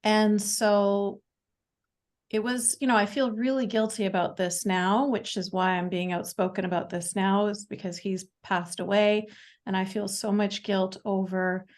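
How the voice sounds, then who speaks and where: Woman, Canadian accent, forties, unhurried delivery, halting with restarts. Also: medium pitch, little pitch movement. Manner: halting, with many fillers and restarts. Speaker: podcast guest. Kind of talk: casual interview talk.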